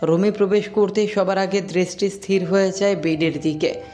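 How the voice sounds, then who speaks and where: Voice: mid-range voice, fairly even intonation, normal speed, dark tone. Story narrator with music behind. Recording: background music under the voice.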